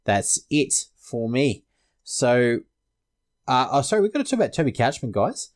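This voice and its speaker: A man's voice: medium pitch, sing-song, normal speed. An Australian man hosting a sports podcast, casually discussing fantasy rugby league.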